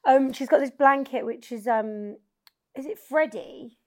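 A woman's voice: high voice, unhurried. A young woman hosting a podcast, chatting casually, swearing and laughing often. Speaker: podcast host. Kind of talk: casual chat.

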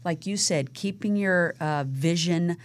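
A woman with a notably low voice hosts an interview podcast. She talks in a halting, encouraging way and often restarts her sentences. Her tone stays supportive throughout. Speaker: podcast host. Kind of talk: interview conversation.

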